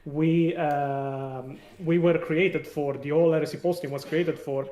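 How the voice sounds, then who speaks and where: A man with an Italian accent, a non-native English speaker, talking as a guest on a live stream in an animated way with many fillers. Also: on the high side, measured pace, echoey room, distant mic. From Italy. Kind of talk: casual live stream discussion.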